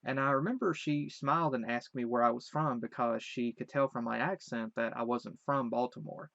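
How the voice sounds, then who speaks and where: A man's voice: mid-range voice, fairly even intonation, normal speed, vocal fry, fairly bright tone, dry recording, muffled recording. Hesitant man with a light Southern accent. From Virginia. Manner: rambling, hesitant, many restarts. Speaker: vlogger. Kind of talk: casual recollection.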